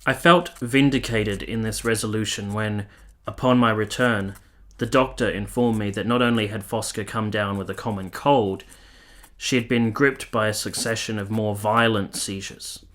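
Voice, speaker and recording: Low-voiced, solemn soldier, played by a man. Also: steady tone, fairly bright tone, lightly acted. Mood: solemn, resolute. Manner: formal, literary, measured, solemn delivery. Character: earnest, tormented male soldier in 1870s Italy, narrating his past. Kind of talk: dramatic radio play narration and dialogue.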